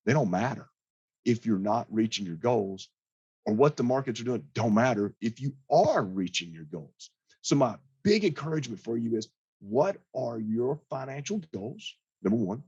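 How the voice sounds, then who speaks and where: A hoarse man, a financial advisor, giving a casual client update in a plain-spoken, conversational way. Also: mid-range voice, darkish tone, no echo, dull audio.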